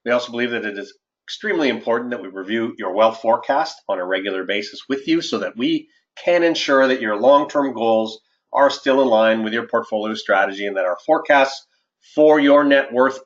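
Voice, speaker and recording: A man, an investment adviser hosting a webinar and presenting market commentary in a formal, measured way. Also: mid-range voice. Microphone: conference call line. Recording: dull, lo-fi conference-call audio.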